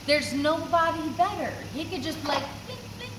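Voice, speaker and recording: A woman chatting casually and telling stories in an animated, slangy, sometimes profane way. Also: very high-pitched, moderate pace. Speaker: former car owner being interviewed. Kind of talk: casual chat, storytelling. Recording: noisy recording with vehicle ambience. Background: vehicle and ambient noise.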